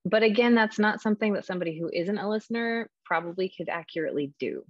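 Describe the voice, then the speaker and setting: A woman's voice: mid-range voice, fast talker, no echo, muffled recording. A woman talking casually in an interview about casting audiobook narrators, thinking aloud with fillers.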